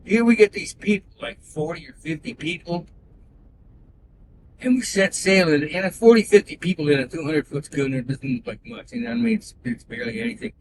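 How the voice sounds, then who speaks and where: A man with a high voice tells winding stories from the back of a moving car, stopping to restart and fill gaps with "uh". The hidden-mic recording carries a steady, clear road rumble under him. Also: brisk, rough recording. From born in Belgium, raised in Canada. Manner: folksy, digressive, with frequent "uh" and restarts. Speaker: rideshare passenger telling sailing stories. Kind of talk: rambling casual storytelling.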